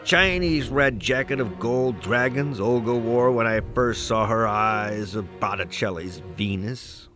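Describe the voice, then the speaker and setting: A man's voice: mid-range voice, a little slow, hardly any pauses, a bit bright, soft background music, natural voice. A man voicing an aging, cantankerous, proud American poet in a rambling dramatic soliloquy, with a crusty, musing, oratorical delivery and salty asides. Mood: wistful, reverent. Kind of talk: dramatic first-person literary soliloquy.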